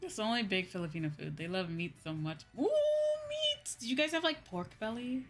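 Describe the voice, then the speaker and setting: A woman hosting a casual livestream, chatting with viewers in a drawn-out, exclamatory way and often repeating her phrases. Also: on the high side, big pitch swings, faint music behind. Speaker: livestream host.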